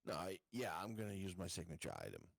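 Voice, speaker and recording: A man's voice: low voice, big pitch swings, quick pace, vocal fry. A man playing a tabletop game, chatting casually and asking questions with stumbling phrasing.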